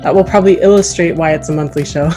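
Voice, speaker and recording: A woman's voice: low-pitched, fast, mellow, soft background music. A woman hosting an interview podcast, talking in an enthusiastic manner.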